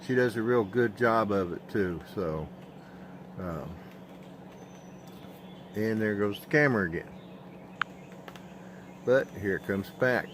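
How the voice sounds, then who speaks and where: Slow monotone Oklahoma man streaming. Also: lowish voice, background noise. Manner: slow, drawling, halting with many fillers. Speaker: live streamer. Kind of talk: casual live stream chat, reading viewer comments. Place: outdoors at sunrise. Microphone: handheld phone.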